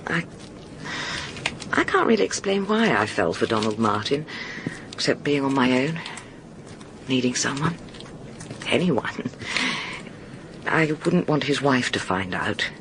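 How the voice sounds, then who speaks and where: A woman's voice: extremely low pitch, sing-song, unhurried, pauses often, background noise, exaggerated voice. An actress playing a guarded but candid single woman in a radio drama, confiding hesitantly. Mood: pleading, regretful. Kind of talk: naturalistic British radio drama acting.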